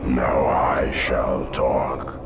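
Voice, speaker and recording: A man voicing a vast ancient hive-mind monster in a video game, ominous and wise, speaking in slow, grandiose oratory. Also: very deep voice, completely flat delivery, very noisy, full character voice. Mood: ominous, commanding. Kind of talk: video game cutscene acting. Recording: echoing voice under loud music.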